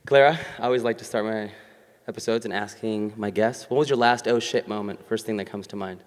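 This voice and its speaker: A man's voice: lowish voice, steady tone, fairly quick. Young man hosting in a reverberant hall. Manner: casual, upbeat, uses fillers like "like". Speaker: podcast host. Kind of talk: live podcast interview. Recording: live stage with long reverb.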